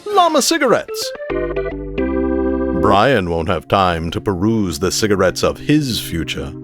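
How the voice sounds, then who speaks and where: An actor playing a sardonic, melodramatic narrator and pitchman of an old-time radio serial, arch and grandiose. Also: wildly swooping pitch, a little slow, over loud music, exaggerated voice. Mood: salesy, upbeat. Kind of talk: 1930s radio announcing.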